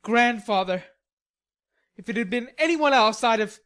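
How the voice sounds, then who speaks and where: A woman reading an audiobook, voicing a stubborn, hot-tempered young gentleman in indignant outbursts. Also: a little high, normal speed, voice pushed up, lightly acted. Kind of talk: audiobook character voice.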